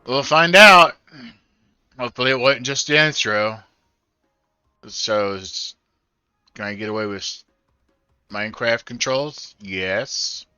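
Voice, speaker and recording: An older man gives casual commentary on a game he is playing. His voice is very bright and clear, and he speaks slowly. The recording is close but somewhat band-limited. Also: mid-range voice, no echo, muffled recording, soft background music. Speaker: gamer doing a first-time playthrough.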